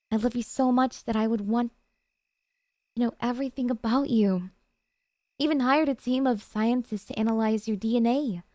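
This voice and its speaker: A woman's voice: fairly high pitch, a little mellow, dry recording, lightly acted. A woman playing an obsessive, possessive yandere girlfriend in an intimate ASMR roleplay, talking in a coy, cutesy, hesitant way to her sweetheart.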